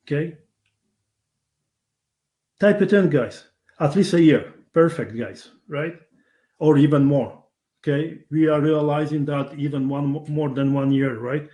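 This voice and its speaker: Polish-accented man pitching a webinar. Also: a little slow, long pauses, warm tone. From Poland. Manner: persuasive, keeps asking the audience "right?". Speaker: webinar host.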